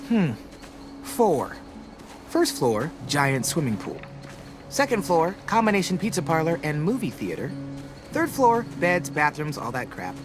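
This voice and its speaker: A man's voice: a little high, huge pitch swings, measured pace. A man playing a wisecracking, dramatic teenage boy survivor in a video game, with an American accent and a playfully theatrical delivery. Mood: excited, joking. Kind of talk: video game voice acting, casual dialogue. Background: background music.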